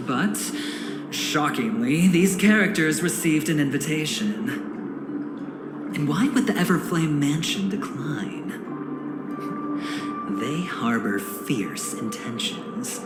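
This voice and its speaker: A suave and smug male schemer from a video game, talks slowly and theatrically, savouring every pause. His voice carries a roomy echo, with music playing clearly behind him. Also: medium pitch. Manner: theatrical, dramatic pauses, taunting chuckles. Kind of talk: video game cutscene dialogue.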